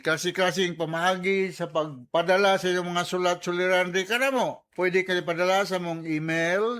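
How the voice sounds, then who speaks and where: Male radio host, Cebuano accent, fairly high voice, chatty advice style, joking asides, English phrases mixed in. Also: fairly bright tone.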